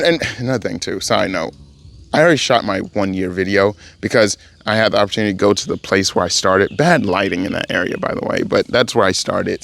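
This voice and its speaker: A man speaks casually in a vlog about his language study. His voice sits low and has a gravelly, fry-edged texture. He rambles, corrects himself as he goes, and turns encouraging toward his viewers. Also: fairly bright tone. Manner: rambling, self-correcting, motivational. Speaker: language-learning vlogger. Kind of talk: casual spoken update to camera. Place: home in Thailand.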